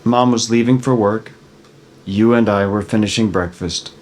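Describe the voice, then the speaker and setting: An actor playing a guilt-ridden father in a dramatic script reading, halting and pleading as he speaks. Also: lowish voice, steady tone, a little hiss or hum, close to an everyday voice. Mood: hesitant, confessing. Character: guilt-ridden, evasive father hiding a terrible secret. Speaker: actor in a screenplay table read.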